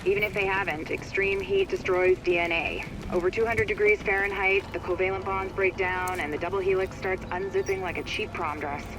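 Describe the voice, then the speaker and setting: A man's voice: sits high, steady tone, a little fast, few pauses, lightly acted. A man playing a wry, nerdy scientist in a video game, advising an agent with pedantic technical explanations. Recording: noisy game ambience behind.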